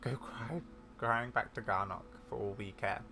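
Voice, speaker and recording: A gamer voicing a loyal, defiant heroine rider from a fantasy game's dialogue, brisk in manner. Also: medium pitch, vocal fry, bright, forceful tone, a little hiss or hum. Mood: scornful. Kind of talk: reading fantasy game dialogue aloud.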